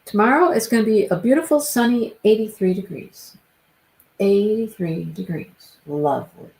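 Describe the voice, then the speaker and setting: A woman's voice: mid-range voice, moderate pace, vocal fry, darkish tone, low-quality recording. A woman hosting a live stream, chatting casually with viewers by name and repeating her phrases, her voice stuffy and congested. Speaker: livestream host.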